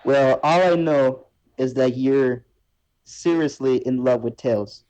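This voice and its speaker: A man's voice: medium pitch, slow, darkish tone, close to an everyday voice, low-quality recording. A man voicing a teasing, stubborn character in an amateur story reading, needling and insistent. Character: teasing, stubborn fan fiction character Allie.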